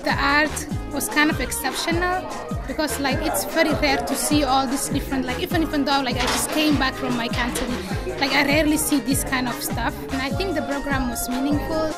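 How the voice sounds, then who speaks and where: A woman from Somaliland giving soft interview comments. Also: high-pitched, steady tone, a little fast. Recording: music and crowd behind. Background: music and crowd.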